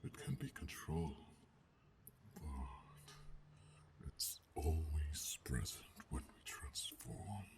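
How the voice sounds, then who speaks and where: A man voicing a menacing, coldly controlled alpha werewolf in an ASMR roleplay, gruff and growling, speaking formally and deliberately in a half-whisper. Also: extremely low pitch, big pitch swings, heavily acted. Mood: hungry, menacing. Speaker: voice actor.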